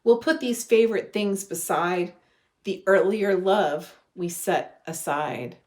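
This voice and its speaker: Slow-reading woman, storybook style. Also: fairly low pitch, darkish tone. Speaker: religious education director reading a children's story. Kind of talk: storybook read-aloud. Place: living room at home.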